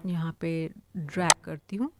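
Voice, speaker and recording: A woman's voice: sits low, steady tone, measured pace, noisy background. An Indian-accented woman who works as a voice-over artist, explaining in a casual tutorial style.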